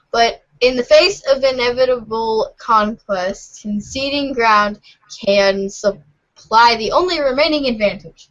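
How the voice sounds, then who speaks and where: A woman doing amateur scripted narration for a readers' theater show, in a formal, slightly halting way. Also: fairly high pitch, fairly bright tone. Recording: muffled lo-fi audio.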